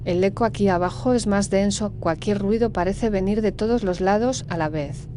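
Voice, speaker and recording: A woman's voice: mid-range voice, moderate pace, dark tone, a little hiss or hum, natural voice. A woman playing a watchful, uneasy member of a ghost-hunting crew in a horror story. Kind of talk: horror audio story acting. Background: music.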